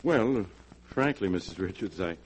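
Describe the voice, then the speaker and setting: A man's voice: deep voice, animated, a little slow, slight background noise, poor audio. A man in 1940s radio acting, playing a fussy, stammering family attorney who defers to his client. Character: fussy, hesitant middle-aged family attorney.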